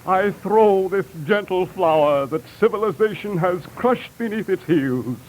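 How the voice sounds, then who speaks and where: An actor playing a bombastic defense lawyer with florid, theatrical pleading, in 1930s radio comedy style on an old recording. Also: male voice, high-pitched, expressive, measured pace, darkish tone, a little hiss or hum, rough recording. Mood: mock tragic. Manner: florid, theatrical coaxing and oratory.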